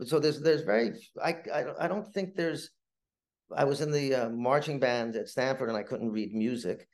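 An older man with a gravelly, medium-pitched voice chats as a guest. He stammers and restarts, circling through his stories in a rambling, self-deprecating way. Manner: halting, stammering, repeats words, self-deprecating. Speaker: podcast guest. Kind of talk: casual rambling anecdote.

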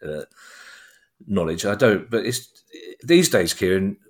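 Wry deep-voiced man. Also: expressive, takes it slowly. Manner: wry, self-deprecating humour. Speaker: podcast co-host. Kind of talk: casual football chat.

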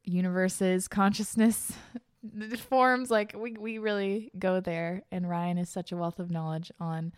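A woman's voice: fairly low pitch, steady tone, measured pace, clean tone. A woman in her twenties hosting a podcast, talking in a bubbly, gushing way with lots of laughter and fillers. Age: 20s. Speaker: podcast host. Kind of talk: casual podcast chat.

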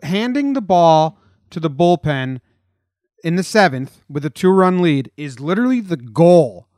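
An American man with a fairly high voice, recorded close and dry. He hosts a sports podcast in a casual, blunt and emphatic way. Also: very animated, unhurried, darkish tone, no echo. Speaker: podcast host.

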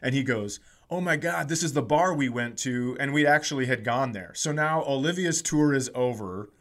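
A middle-aged American man with a mid-pitched voice tells comic stories in a loose, conversational way. He acts out other people's lines as a podcast co-host would. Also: normal speed, fairly bright tone. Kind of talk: casual comic storytelling.

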